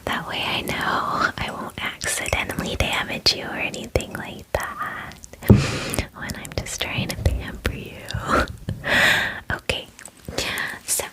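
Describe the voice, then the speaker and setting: A woman's voice: takes it slowly, close to an everyday voice, rough recording. Woman as a whispering, bubbly, friendly dragon girl. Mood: friendly, pampering. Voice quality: soft breathy whisper. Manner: gushing, casual girly chattiness with filler "like". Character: bubbly, friendly, lonely female dragon pampering a guest. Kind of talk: whispered ASMR fantasy roleplay.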